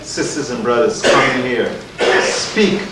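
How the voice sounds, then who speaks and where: A man introduces a speaker with earnest, rhetorical emphasis. His voice is picked up from across a large, very echoey hall with a steady hiss of noise behind. He sounds set back from the microphone. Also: mid-range voice, unhurried. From Guyana. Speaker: event host. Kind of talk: community lecture introduction. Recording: echoey hall, distant mic, noisy.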